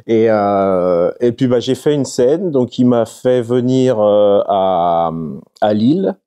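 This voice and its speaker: A young adult man from France, a guest talking casually in an interview, halting and full of fillers. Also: mid-range voice, lively intonation, mellow, clear, resonant tone. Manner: halting, many fillers and restarts.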